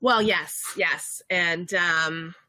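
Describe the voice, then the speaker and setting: A woman in her thirties with a head cold, her voice bright and forceful, talks on a home livestream through a desk webcam mic. She is chatty and excitable and leans on ums, and the sound is dry and close, with little room in it. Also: lowish voice, extremely slow, no echo. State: stuffed up with a summer cold. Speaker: co-host of a game studio livestream. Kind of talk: casual live chat. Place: home room with bookshelves, indoors.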